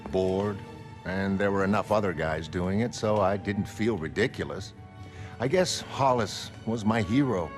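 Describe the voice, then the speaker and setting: An actor playing a mild, self-doubting middle-aged American retired crime fighter in a motion comic, talking in a hesitant, reflective way. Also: male voice, lowish voice, natural voice. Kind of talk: dramatic motion comic character acting.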